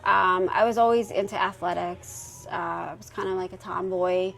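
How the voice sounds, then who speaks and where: A woman in her forties from Pennsylvania, a firefighter guest chatting casually in a podcast interview, relaxed and quick to laugh, with plenty of ums and uhs. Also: lowish voice, gravelly. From Pennsylvania, United States. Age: 40s. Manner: relaxed, laughs easily, many um and uh fillers.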